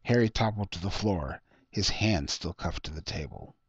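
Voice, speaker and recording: A man's voice: low voice, expressive, moderate pace, slightly rough, no echo, lo-fi sound. A man reading his own short story as author, with dramatic, scripted storytelling delivery.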